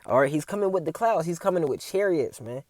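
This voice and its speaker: A man's voice: on the high side, expressive, a little fast, a little mellow, dry recording, clean studio sound. A man giving a casual religious commentary as a preacher, reading scripture and tagging his lines with "man" and "all right?".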